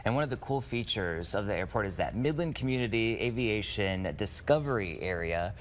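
A man in his thirties, a news anchor, asking questions in a TV studio interview with friendly quick interjections. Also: lowish voice, animated, a little hiss or hum. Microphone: lapel.

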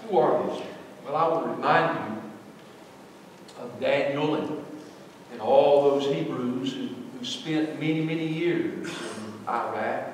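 A middle-aged man preaching a sermon, swinging between loud, emphatic lines and soft ones. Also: mid-range voice, takes it slowly, slight background noise. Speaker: pastor. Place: large church sanctuary. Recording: echoey hall, voice from far off.

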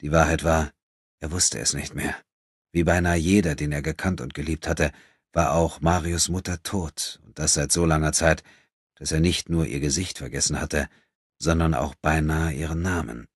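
A man's voice: extremely low pitch, steady tone, moderate pace, breathy edge, dry recording, clean studio sound. A man reading literary prose as an audiobook narrator.

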